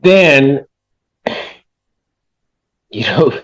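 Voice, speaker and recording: An American man speaking as a judge from the bench, stern and admonishing, with halting repetitions. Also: mid-range voice, expressive, a bit bright. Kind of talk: speaking from the bench in a court hearing. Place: courtroom. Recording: slightly dull courtroom audio.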